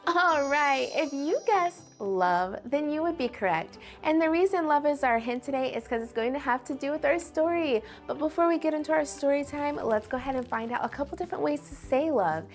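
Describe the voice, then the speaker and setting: A woman hosting a read-aloud show for young children, talking to them directly in an animated, encouraging way. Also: high-pitched, a little fast, hardly any pauses, faint music behind.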